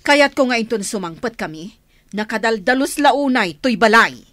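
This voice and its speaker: Casting: bossy, harsh aunt in a radio drama; sharp and commanding, very animated, close dry sound. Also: female voice, fairly high pitch, normal speed, no echo. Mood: stern commanding. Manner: scolding, commanding. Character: harsh, bossy aunt who bullies her niece, softer with her daughter. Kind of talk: Ilocano radio drama acting.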